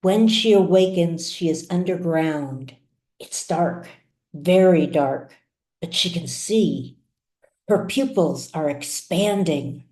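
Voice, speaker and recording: A woman reading her own fiction aloud as scripted audiobook narration, with measured, deliberate phrasing and dramatic pauses. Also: medium pitch, a little mellow, reverberant. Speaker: author reading her own serialized novel.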